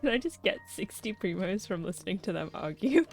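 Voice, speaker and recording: A woman's voice: mid-range voice, very animated, fairly quick. A woman streaming a game, giving casual, reactive live commentary in a giggly way. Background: game music and dialogue.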